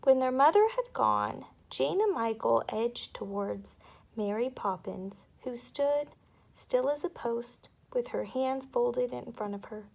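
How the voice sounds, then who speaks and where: A woman narrating a children's story aloud with expressive, dramatic delivery. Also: fairly high pitch, slow pace, creaky, poor audio.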